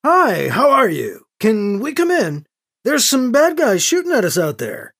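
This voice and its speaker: A man's voice: extremely high pitch, big pitch swings, moderate pace, pitched above the performer's own voice, exaggerated voice. A man voicing a sarcastic, bluffing male priest in an audiobook, with a cocky, quipping delivery. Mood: syrupy sweet. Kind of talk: audiobook character acting.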